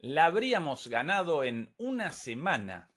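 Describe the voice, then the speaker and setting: A man with an Argentine accent hosting and translating on the fly, halting and correcting himself. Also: on the high side, big pitch swings, a bit bright. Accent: Rioplatense Argentine Spanish. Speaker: host translating a clip. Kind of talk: live impromptu interpreting.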